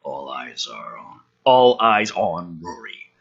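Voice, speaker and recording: A man's voice: low voice, sing-song, slow pace, fairly bright tone, husky, lo-fi sound. A man reading a script aloud with theatrical emphasis and incredulous, profane, exasperated commentary, as the host of a review show.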